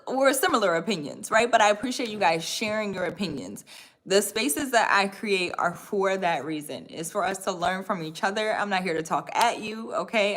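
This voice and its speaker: A woman in her thirties chats casually on a livestream, friendly and upbeat. Her voice sits in a middle pitch, and she often drops in "y'all" and "right?" as she reads viewer comments. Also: a bit bright. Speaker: livestream host and course coach.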